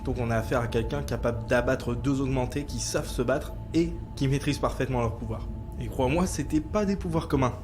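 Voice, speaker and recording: An actor playing a laid-back, irreverent technician in an audio drama, casual and slangy. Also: male voice, mid-range voice, fairly quick, over music, close to an everyday voice. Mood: breezy, irreverent. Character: laid-back, irreverent male tech geek.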